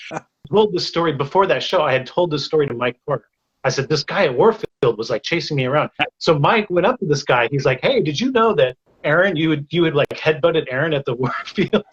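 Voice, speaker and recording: Husky man on a dull lo-fi line. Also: a little high, brisk. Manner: animated anecdote teller, lots of "like". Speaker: guest, author. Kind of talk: casual chat.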